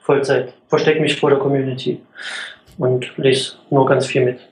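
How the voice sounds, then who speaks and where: A man's voice: mid-range voice, level tone, moderate pace, a little mellow, breathy edge. A man in his thirties from eastern Germany, co-hosting a podcast with a dry, teasing manner, recorded in an echoey room with faint music. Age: 30s. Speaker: podcast co-host. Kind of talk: casual podcast chat.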